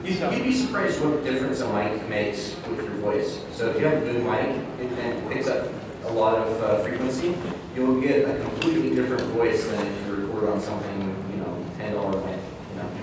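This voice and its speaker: A man telling casual, halting, jokey anecdotes as an audio drama producer on a convention panel. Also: lowish voice. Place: large indoor convention room. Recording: very echoey hall, distant mic, noisy.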